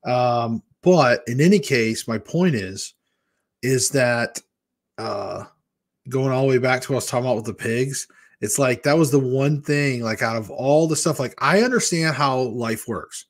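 A man in his fifties, originally from Michigan, chats casually and candidly with his livestream viewers. His tone is mid-pitched and relaxed, and he wanders from story to story while gesturing. He speaks close to a desk microphone in a small room at home. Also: measured pace. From Michigan, USA. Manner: candid, rambling, talks with his hands. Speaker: weight-loss vlogger hosting a live chat. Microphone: large desk microphone in front of a webcam.

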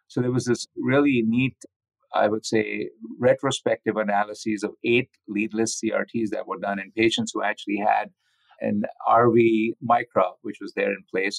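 Guy doctor being interviewed, explains technical stuff keenly, creaky low voice, dry sound. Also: no echo, low-quality recording. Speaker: physician guest, researcher.

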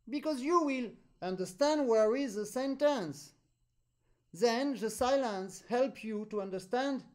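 A man with a French accent, a native French speaker, teaching an accordion master class over a video call, animated and pausing deliberately between phrases. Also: very high voice. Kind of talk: online master class instruction.

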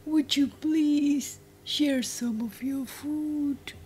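A woman voicing a polite, secretive little old dwarf in a children's story, husky and careful. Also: sits high, fairly even intonation, very slow, a little mellow, slight background noise, pitched above the performer's own voice. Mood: polite pleading.

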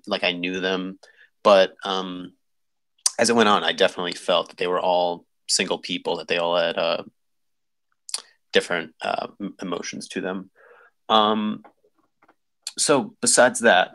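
A man giving informal script notes in a halting, stop-start way, often correcting himself. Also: low voice, creaky, sharp tone. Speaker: table read participant giving script feedback. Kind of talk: informal spoken critique. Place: remote online voice call.

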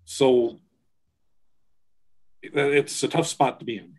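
A man's voice: medium pitch, fairly even intonation, slow, a little mellow. A man on a school board, asking questions in a meeting with hesitant restarts, heard over a video call. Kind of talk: meeting discussion.

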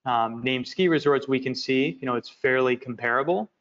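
A man walking through presentation slides for an investment project, talking in a halting way with many fillers and repeated words. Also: mid-range voice, a bit bright. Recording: muffled lo-fi audio.

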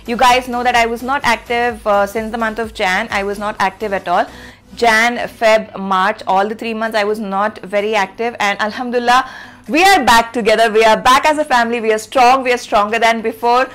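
A woman's voice: a little high, fairly quick, faint music behind. A young woman with an Indian English accent, vlogging to camera in a chatty way that repeats itself, filmed in a small room at desk distance. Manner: chatty, repeats herself, lively hand gestures. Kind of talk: casual direct-to-camera vlog.

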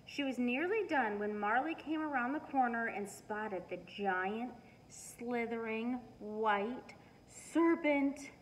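Higher-voiced woman reading a storybook. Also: slow pace. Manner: expressive, emphatic storytelling. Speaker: teacher reading aloud. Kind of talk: children's storybook read-aloud. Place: living room, indoors. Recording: noisy living room recording.

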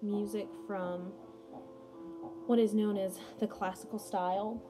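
A woman's voice: mid-range voice, fairly even intonation, a little slow. A young adult woman giving a casual read-aloud lesson as a music teacher, mixing read passages with chatty, informal asides. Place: small room at home, indoors. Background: music playing behind the voice.

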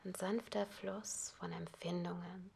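A woman yoga teacher softly whispering a guided relaxation, calm and unhurried. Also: lowish voice, vocal fry, a little hiss or hum. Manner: soft whispered, slow and calm. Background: ocean waves.

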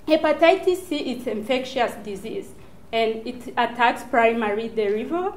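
A woman in her thirties with a Rwandan accent gives an earnest, prepared research talk as a doctoral student, phrasing things deliberately. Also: on the high side. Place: small indoor lecture room, standing before a screen. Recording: echoey lecture room.

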